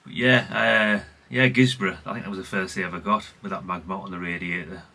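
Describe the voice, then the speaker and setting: A man's voice: sits low, steady tone, brisk, vocal fry, bright, forceful tone, a little hiss or hum. A middle-aged man from chats unscripted as a hobbyist vlogger, rambling and conversational with repeated catchphrases. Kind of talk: unscripted casual chat, showing an item.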